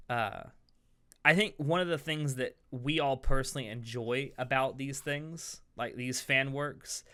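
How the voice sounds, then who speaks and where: A man's voice: medium pitch, sharp tone, clean tone. A man hosting a podcast in a casual, enthusiastic and opinionated way. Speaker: podcast host.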